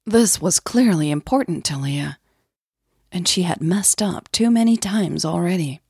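A woman's voice: fairly low pitch, animated, a little slow, vocal fry, a little mellow, slightly rough, no echo, clean studio sound. A woman narrating a scripted audio drama as its storyteller.

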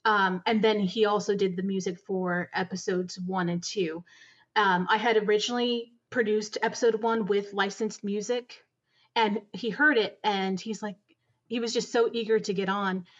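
An enthusiastic woman guest on a podcast, talking in long, earnest stretches. Her voice sits at an ordinary middle pitch. The sound is somewhat muffled and lacks top end. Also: steady tone, moderate pace. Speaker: audio drama producer, guest. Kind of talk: conversational interview answers.

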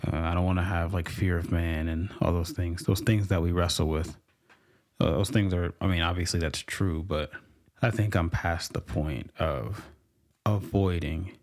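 Low-voiced man musing on faith. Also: darkish tone. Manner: musing, halting, many restarts and fillers. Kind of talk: reflective devotional monologue.

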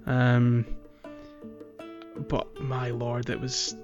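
A man's voice: medium pitch, monotone, very slow. A man co-hosting a casual gaming podcast, chatting with wry, grumbling understatement. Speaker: podcast co-host. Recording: dull lo-fi mic, faint music. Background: faint background music.

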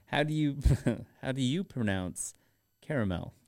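Male podcast co-host, informal and teasing, mid-pitched voice, phrasing that stops and restarts, casual banter. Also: expressive, unhurried, darkish tone.

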